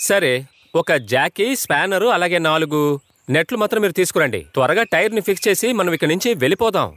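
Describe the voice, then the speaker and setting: A man's voice: high-pitched, expressive, quick pace, fairly bright tone. A man voicing a proud, wealthy scientist in a dubbed cartoon, dismissive toward others. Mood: haughty. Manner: haughty, dismissive. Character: proud wealthy middle-aged male scientist. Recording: music bed under dialogue.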